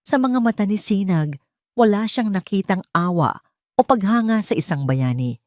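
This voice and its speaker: A woman with a Filipino accent reads a scripted dramatic story in a measured way, with pauses for effect. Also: medium pitch, lively intonation, darkish tone, no room sound, clean studio sound. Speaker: narrator.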